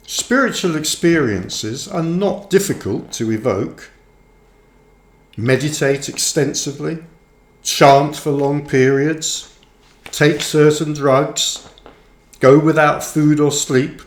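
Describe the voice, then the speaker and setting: A man reading his own book aloud as scripted narration, with measured, deliberate phrasing. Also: fairly high pitch. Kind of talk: audiobook-style scripted narration. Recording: roomy, echoing sound.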